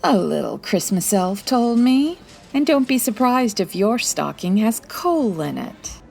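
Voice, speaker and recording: A woman's voice: medium pitch, sing-song, measured pace, creaky, a little mellow, faint music behind, heavily acted. A woman playing a confident, wry 1940s private detective in a radio-style comedy drama, breezy and teasing. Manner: breezy, teasing, self-assured.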